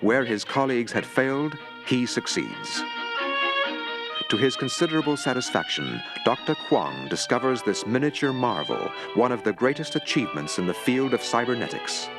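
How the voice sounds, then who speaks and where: A man narrating a mock documentary in a measured, formal, newsreel-like way. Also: mid-range voice, very animated, over loud music. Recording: narration over a clear film score.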